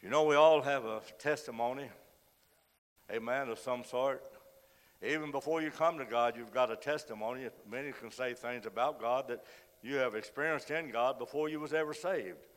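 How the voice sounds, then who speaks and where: A man with a cold, hoarse as he preaches a sermon, reads scripture and says frequent amens. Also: mid-range voice, moderate pace. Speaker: guest preacher. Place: church sanctuary. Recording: long reverb of a church hall.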